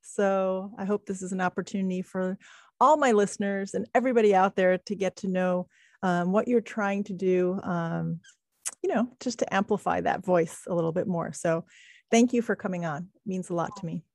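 A woman's voice: mid-range voice, normal speed, dry recording, studio quality. A woman hosting an interview podcast, encouraging her guest with plenty of affirming interjections. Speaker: podcast host.

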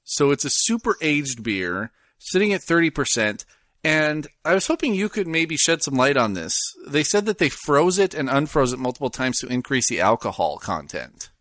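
Lively-voiced man, casual podcast chat. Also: fairly high pitch, normal speed, no room sound. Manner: rambling, hesitant, reads news aloud. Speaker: podcast co-host.

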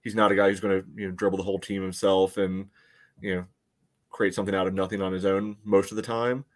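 Low-voiced man talking soccer casually. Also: flat delivery, quick pace. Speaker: sports podcast co-host.

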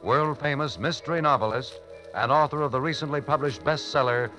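A man announcing the start of a 1940s radio show in a formal, booming manner. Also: fairly quick, pushed and bright, faint music behind, low-quality recording.

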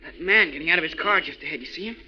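Eager, polite boy athlete, high bright voice, 1940s radio serial. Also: very fast, poor audio. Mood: alarmed.

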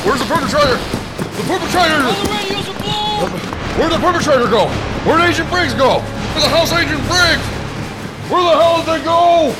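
An actor playing a frantic man who shouts as he searches for a missing perpetrator. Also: extremely high pitch, moderate pace, loud music behind the voice.

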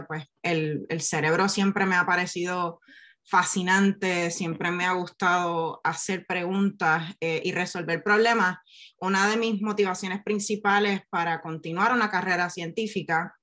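A woman in her forties with a Puerto Rican accent talks at an easy, even pitch. She reflects as she goes, pausing on fillers and correcting herself midway, in a relaxed conversational interview. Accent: Puerto Rican Spanish. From Puerto Rico. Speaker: guest, neurobiologist and science communicator.

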